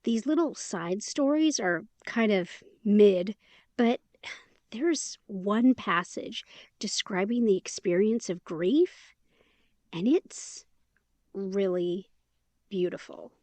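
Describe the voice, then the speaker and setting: A woman's voice: medium pitch, animated, takes it slowly, darkish tone, dry recording. A woman playing a chatty, upbeat young woman in an immersive audio drama, talking in a casual, playful, slangy way.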